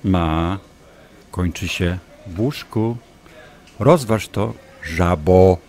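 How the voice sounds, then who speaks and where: An actor playing a smug, obsessive doctor in an audio drama, taunting and insinuating as a manipulative ex-lover. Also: male voice, sits low, extremely slow, darkish tone, exaggerated voice. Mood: insinuating, pressing. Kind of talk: audio drama acting, dialogue.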